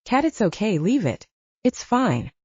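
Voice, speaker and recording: Woman as gruff, caring soldier man, mellow. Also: medium pitch, very animated, unhurried, dry recording, lo-fi sound, pitched above the performer's own voice. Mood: gentle concern.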